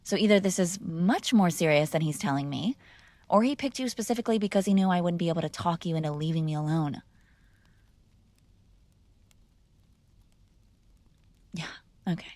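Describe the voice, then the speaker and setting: A woman's voice: fairly low pitch, a little mellow, no room sound. Woman acting a spoiled, sarcastic young heiress, close and dry. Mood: wry, challenging. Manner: sarcastic, exasperated, casual drawl with sighs. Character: spoiled, bratty, argumentative young adult daughter of mob boss. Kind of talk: intimate ASMR roleplay acting, one-sided conversation.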